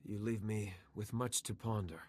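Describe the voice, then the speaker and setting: An actor playing an earnest, proud Jedi knight with a husky voice in a video game. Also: male voice, fairly low pitch, steady tone, normal speed, darkish tone, slight background noise, close to an everyday voice. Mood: chastened.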